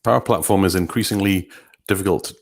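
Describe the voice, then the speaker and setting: A man with a deep voice that is starting to give out comments conversationally as a co-host. He talks quickly and keeps the tone of an informal tech discussion. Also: creaky. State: losing his voice, clears throat. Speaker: podcast co-host.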